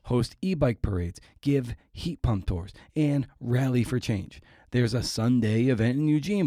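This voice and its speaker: A man's voice: fairly low pitch, warm tone, no room sound, studio quality. Irreverent, jokey male podcast host. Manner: irreverent, jokey, self-mocking. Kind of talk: casual podcast intro monologue.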